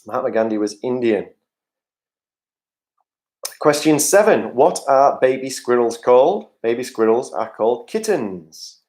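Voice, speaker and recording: A man's voice: medium pitch, big pitch swings, unhurried, pauses often, a little mellow. Man hosting a pub quiz. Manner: jokey, chatty asides to players, reads questions aloud. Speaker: quiz host. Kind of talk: reading out pub quiz answers. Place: home, online video call quiz.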